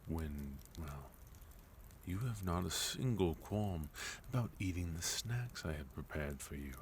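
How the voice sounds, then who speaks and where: A man playing a sophisticated villain leader in an ASMR roleplay, speaking formally and politely with measured pauses and quiet menace. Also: deep voice, expressive, slight background noise. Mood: teasing, curious. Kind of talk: ASMR roleplay, intimate second-person acting.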